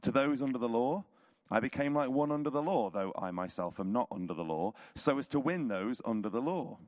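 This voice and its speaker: A man with a hoarse, croaky voice reads a scripture chapter aloud at a church service, in a measured, rhetorical way. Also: mid-range voice, animated, a little mellow. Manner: casual intro, then measured rhetorical reading. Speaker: church leader reading scripture. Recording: reverberant hall.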